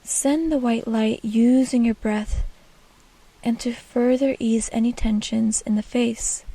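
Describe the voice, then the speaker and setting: A woman leading a guided meditation in a soft, breathy, half-whispered and soothing delivery. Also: on the high side, steady tone, slow, rough recording.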